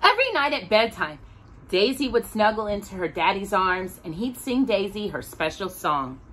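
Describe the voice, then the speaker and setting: A woman reading a children's picture book aloud as storyteller, expressive, drawing out words for a young listener. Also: mid-range voice, normal speed.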